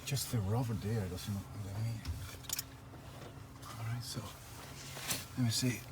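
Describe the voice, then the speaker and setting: Low-voiced man muttering over noisy repair work. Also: fairly even intonation, a little slow. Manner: informal, muttering to himself while working. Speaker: vlogger, tradesman doing a DIY truck repair. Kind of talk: casual hands-on repair vlog. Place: at a vehicle being repaired.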